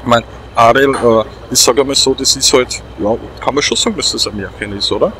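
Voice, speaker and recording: A man's voice: mid-range voice, a little fast, sharp tone, slight background noise. A middle-aged man with an Austrian accent, hosting a let's play in casual, chatty, repetitive phrasing. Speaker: host of a gaming let's play. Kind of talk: casual chat commentary over a game.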